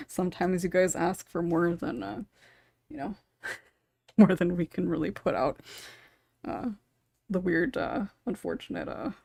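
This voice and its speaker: A woman's voice: on the low side, unhurried, vocal fry, pauses often, mellow, breathy edge, dry recording, clean studio sound. A woman hosting a casual podcast, rambling and interrupting herself, with small chuckles between thoughts. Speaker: podcast host and script writer.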